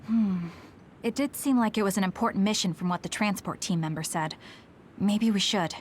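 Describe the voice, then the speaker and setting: A woman's voice: mid-range voice, normal speed, a little hiss or hum, natural voice. Earnest, resolute young woman soldier played by a woman. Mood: businesslike. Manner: serious, direct. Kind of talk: dubbed anime-style video game acting.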